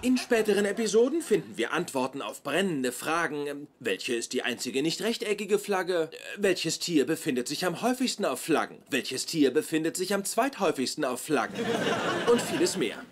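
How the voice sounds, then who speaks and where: Self-important nerdy scientist, high voice, swooping intonation, pedantic podcast host, dubbed sitcom. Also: male voice, very animated, normal speed, noisy background. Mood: lecturing, pompous. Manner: precise, lecturing, pompous. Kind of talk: dubbed German sitcom acting.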